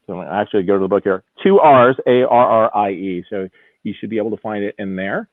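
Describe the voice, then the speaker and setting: Man, auction executive giving a casual live preview; thin phone-like sound, very dry, keen but halting. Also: on the low side, no echo. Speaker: auction house executive presenting lots.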